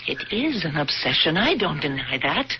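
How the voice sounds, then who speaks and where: A woman's voice: deep voice, sing-song, normal speed. Vengeful, obsessed woman, noisy old radio. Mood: grimly certain. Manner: intense, bitter, insistent. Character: obsessed vengeful woman, death camp survivor hunting a war criminal. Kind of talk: old-time radio drama acting. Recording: noisy, dull old radio broadcast recording.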